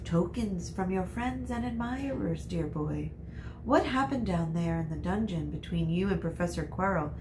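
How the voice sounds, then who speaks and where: A woman voicing a calm, kindly elderly male wizard headmaster from a children's novel in a gentle, soothing manner. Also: lowish voice, moderate pace, background noise. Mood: calm, reassuring. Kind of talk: children's novel read aloud.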